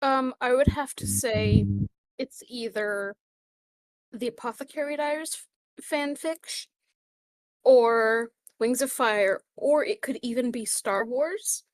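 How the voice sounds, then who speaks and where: A woman's voice: fairly high pitch, slow, no room sound. A woman co-hosting a casual podcast, talking in a chatty, blunt way. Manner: chatty, blunt, fan-culture talk. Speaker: podcast co-host.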